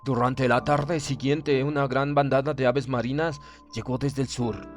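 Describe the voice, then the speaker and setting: A man's voice: mid-range voice, fairly even intonation, a little slow. Man narrating a horror audiobook over music. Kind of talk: dramatic scripted narration of a horror story. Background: background music.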